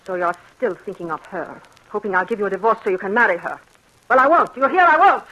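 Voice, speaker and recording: An actress playing a possessive, jealous wife in an intense, theatrical old-time radio drama style. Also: female voice, mid-range voice, expressive, fast talker, pushed and bright, low-quality recording. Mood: furious.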